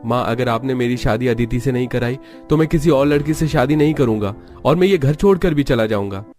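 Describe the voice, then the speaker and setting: A man's voice: mid-range voice, brisk, a little mellow, natural voice. A man voices a devoted young cartoon son in love. He is earnest and reassuring, in a mid-range voice laid over music. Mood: defiant.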